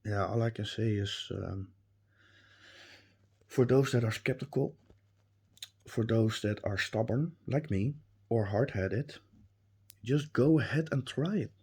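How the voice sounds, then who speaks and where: A man with a Dutch accent, a native Dutch speaker, giving a hesitant, earnest spoken testimonial with many pauses and restarts. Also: medium pitch, darkish tone, slightly rough. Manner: earnest and emphatic. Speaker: reviewer.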